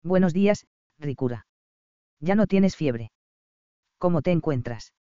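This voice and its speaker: A man's voice: a little high, animated, measured pace, clear, resonant tone, no room sound. A man voicing a bossy, teasing young male doctor with dry, smug humor, read in a dull, dry recording. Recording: slightly dull dry audio.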